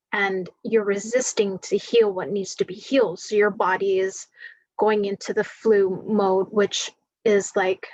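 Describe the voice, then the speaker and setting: A woman with a groggy voice giving a spiritual forecast, talking slowly and wandering with many fillers. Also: mid-range voice, no room sound, muffled recording. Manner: slow, meandering, many fillers. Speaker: spiritual reader.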